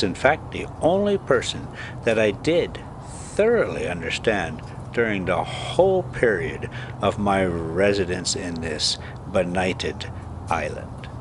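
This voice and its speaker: Guy reading an old novel out loud, slow and dramatic, pitch moves around a lot, bit of background noise. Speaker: storyteller reading a classic novel aloud. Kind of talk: scripted audiobook-style narration.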